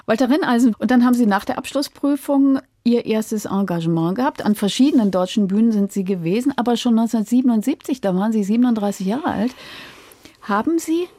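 A woman's voice: on the high side, fast, mellow, no room sound. A woman with pronunciation, hosting a studio radio interview and putting prepared questions to a guest.

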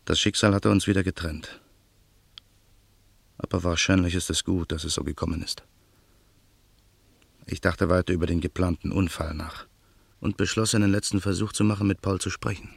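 Deep-voiced brooding male narrator. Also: fairly even intonation, normal speed, gravelly, pauses often, breathy edge, no echo. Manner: earnest, intense, brooding. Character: Daniel, bitter man set on revenge. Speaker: narrator and protagonist. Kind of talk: radio play narration.

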